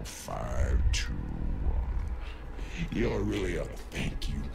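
An actor playing a hulking, gloating mutant brute in a video game, vengeful, with a gravelly growl. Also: male voice, low-pitched, exaggerated voice. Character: hulking mutated prison warden's brute, gloating, vengeful male. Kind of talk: dramatic video game acting.

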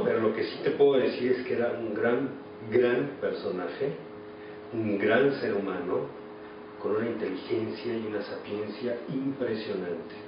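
Mexican male, 40s, lowish voice, reflective interview delivery, very echoey room. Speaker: actor being interviewed. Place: small office indoors. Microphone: camera mic at a distance. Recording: echoey, distant, dull, noisy room.

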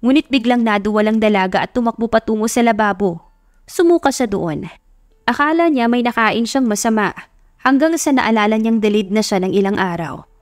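A woman's voice: a little high, animated, a little fast, no echo, studio quality. A woman with a Filipino accent narrating a romance audiobook in a scripted, dramatic storytelling style. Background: music.

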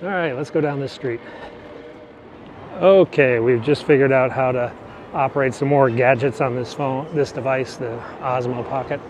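A man's voice: medium pitch, moderate pace, a little mellow. Man, casual vlog narration, rambling, self-correcting, outdoor traffic noise in the background. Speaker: vlogger. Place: outdoors on a city bike ride. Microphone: action camera. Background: traffic and city ambience.

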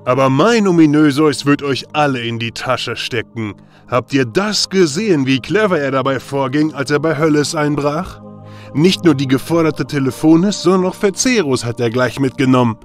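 A man's voice: a little high, expressive, moderate pace, close to an everyday voice. A man voicing a boastful god who brags about his son, with music behind. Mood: proud. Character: boastful proud father god.

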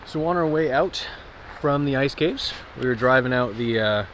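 A man in his thirties talks casually to his camera as he narrates an outdoor trip. His pitch is average. The action-camera recording carries light ambient noise from the outdoors. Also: expressive, normal speed, a bit bright. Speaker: vlogger, hike narrator. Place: outdoors in woods, on trails and riverbank. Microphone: action camera at arm's length.

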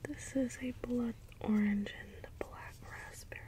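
Woman acting as naive caretaker robot, breathy whisper, slightly high, halting, gentle, puzzled by humans, close-up ASMR role play. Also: fairly even intonation, natural voice. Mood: gentle, wistful. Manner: slow, halting, deliberate delivery. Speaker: ASMR performer. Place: small dim indoor space with cloth backdrop. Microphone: close ASMR microphone.

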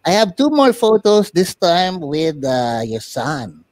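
A man's voice: a little high, sing-song, slow pace, darkish tone, no room sound, studio quality. A man hosting a talk show, casually interviewing guests and guiding them along.

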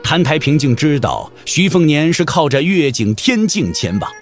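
A man narrates a martial-arts audio drama with theatrical intensity. His voice sits a little high and swings widely in pitch. Soft music plays faintly underneath. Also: big pitch swings, normal speed.

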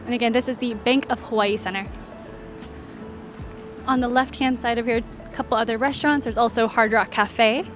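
A woman with a somewhat high voice narrates a walking tour as a travel host. She is conversational and informative, pointing out one sight after another in an orderly way. Faint music plays behind her. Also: steady tone, moderate pace. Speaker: travel guide and vlog host.

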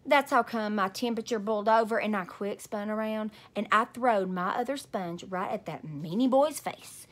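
A woman's voice: mid-range voice, normal speed, hardly any pauses, a bit bright. A woman in her thirties reading a storybook aloud expressively as a host for young children. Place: small home room indoors.

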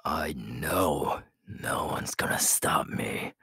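A man playing a ruthless, menacing young werewolf alpha in an ASMR audio roleplay, gravelly and breathy, slow and boastful, often dropping to a whisper. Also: extremely low pitch, lively intonation, pushed and bright, no room sound, clean studio sound, heavily acted. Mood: menacing, ambitious.

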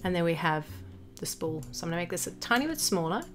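A woman narrating a step-by-step software tutorial as an instructor, explaining each action as she goes. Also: lowish voice, very animated, fast talker. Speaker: graphic design tutorial instructor. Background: background music.

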